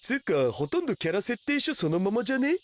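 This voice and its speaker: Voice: mid-range voice, sing-song, fast, natural voice. A performer playing a laid-back, nerdy young male otaku in a Japanese anime radio drama, talking in a casual, slangy way. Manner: casual, lazy drawl with internet slang.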